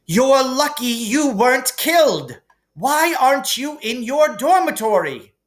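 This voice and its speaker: A man's voice: very high-pitched, big pitch swings, measured pace, voice pushed up, exaggerated voice. A man voicing a stern, coldly angry older woman professor in a storybook reading, clipped and scolding. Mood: cold fury.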